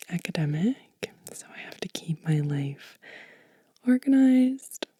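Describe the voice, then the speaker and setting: A woman whispering softly and breathily in a gentle, unhurried, soothing ASMR show and tell. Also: lowish voice, husky, no echo. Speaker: host of a witchcraft ASMR channel.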